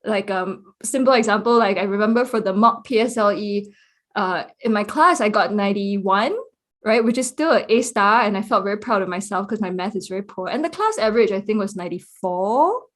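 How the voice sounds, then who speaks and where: Reflective Singaporean Buddhist nun. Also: female voice, mid-range voice, fairly quick. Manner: reflective, self-deprecating, laughs often. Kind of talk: casual podcast conversation.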